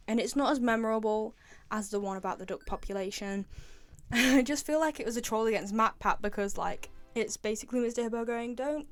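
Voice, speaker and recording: A young woman giving casual, enthusiastic, informal commentary as the host of a video game fan video. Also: a little high.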